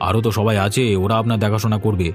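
A man voicing a brisk, impatient son-in-law. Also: fairly low pitch, level tone, soft background music, close to an everyday voice. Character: son-in-law, busy and eager to leave. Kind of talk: cartoon voice acting.

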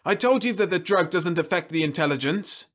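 A man reading a story aloud, voicing a young woman who speaks quietly and steadily. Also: high-pitched, animated, a little fast, voice pushed up. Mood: quiet, firm. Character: young woman Louise, calm and determined.

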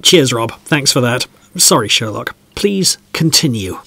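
A man's voice: mid-range voice, animated, measured pace, creaky, sharp tone, breathy edge, dry recording, heavily acted. A man playing a polite, chatty, slightly bemused podcast host in an audio drama.

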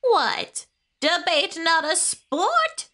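A woman voicing a spry, competitive older lady in a cartoon, bragging in a slow, deliberate, dry way. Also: extremely high pitch, sing-song, sharp tone, full character voice. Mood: boastful. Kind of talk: cartoon voice acting.

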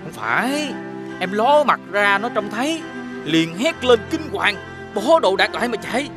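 A man voicing an excitable, soft-hearted little demon in an animated fairy tale, with a childlike manner. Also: high voice, a little slow, music in the background. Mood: excited.

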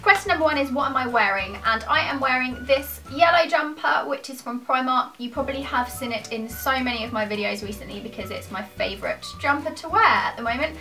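Bright, high-pitched young British woman vlogging. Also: brisk, hardly any pauses, far from the mic, soft background music. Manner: informal, self-deprecating, rambling with asides. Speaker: YouTuber answering questions to camera. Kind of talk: casual chatty vlog. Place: small furnished room at home, indoors.

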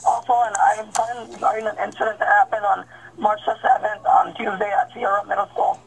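Upset mother on a gravelly phone line. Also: medium pitch, level tone, fairly quick, slight background noise. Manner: upset, insistent. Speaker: caller, a mother filing a complaint. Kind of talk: phone complaint call.

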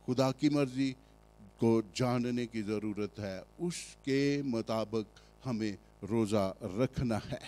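A male pastor with a Pakistani Urdu accent, preaching a sermon with emphatic rhetorical questions and frequent hesitant pauses. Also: mid-range voice, darkish tone.

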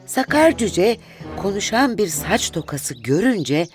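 A woman narrating a children's fairy tale in an expressive, deliberate storytelling style. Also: medium pitch. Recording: music behind the voice.

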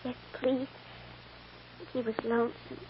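A woman playing a small, affectionate, uncertain little girl with halting questions in an old radio drama. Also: fairly high pitch, level tone, close to an everyday voice. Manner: hesitant, childlike, halting questions. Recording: muffled, noisy old radio recording.

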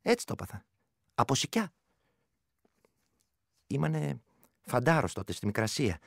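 An actor playing a folksy ageing war veteran in a radio play, chattily reminiscing with a rural Greek accent. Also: male voice, mid-range voice, big pitch swings, vocal fry, fairly bright tone, dry recording, studio quality, heavily acted. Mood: fond reminiscing.

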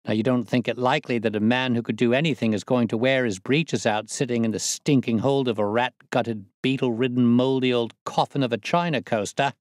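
A man's voice: mid-range voice, brisk, hardly any pauses, no room sound, clean studio sound, natural voice. A man reading a story aloud, voicing a boastful, swaggering young male convict who leads a plot. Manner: boastful, coarse, excitable.

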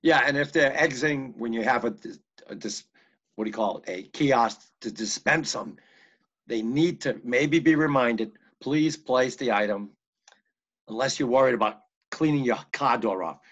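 A man's voice: medium pitch, moderate pace, fairly bright tone. An American man with a mid-range voice chairs an online meeting. He talks in a loose, rambling discussion style. The sound is dull and band-limited, as on a video call. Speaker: board of health meeting chair.

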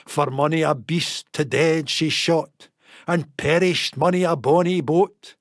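Slow, gravelly Scottish man reciting verse. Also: fairly high pitch, dry recording, studio quality. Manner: theatrical, expressive storytelling delivery. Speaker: poetry reader. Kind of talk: dramatic recitation of a narrative poem.

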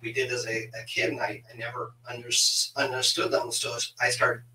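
A middle-aged man chats as a podcast host with a bright, forward voice. He speaks haltingly, doubling back on words. The sound is roomy and set back from the mic, as on a video call. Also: medium pitch, monotone, far from the mic, slight background noise. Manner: halting, repeats words as he goes. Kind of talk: casual interview chat. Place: small home office on a video call.

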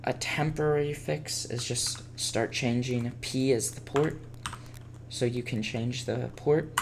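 A man presenting a casual step-by-step software tutorial as a screencast voice-over. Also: mid-range voice, creaky. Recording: faint background noise and keyboard typing.

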